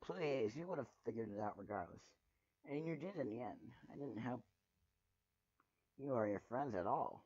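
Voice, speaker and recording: A man's voice: mid-range voice, lively intonation, normal speed, pauses often, darkish tone, breathy edge. A man voicing a guarded, self-deprecating pony newcomer with a formal, reserved manner in a storybook reading. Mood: dismissive, modest. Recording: muffled, roomy home recording.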